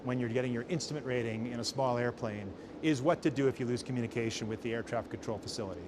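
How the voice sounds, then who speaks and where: A man's voice: medium pitch, quick pace, background noise. A middle-aged American man, an airline captain, explaining procedures in a matter-of-fact way during an interview. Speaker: airline captain and flight trainer. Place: flight training hall and simulator cockpit.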